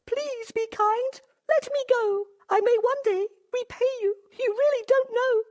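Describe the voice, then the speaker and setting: A tiny timid mouse with a squeaky, very high-pitched voice. She pleads politely in a thin, piping tone, like a storybook creature begging for mercy. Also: a little slow, a little mellow. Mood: pleading.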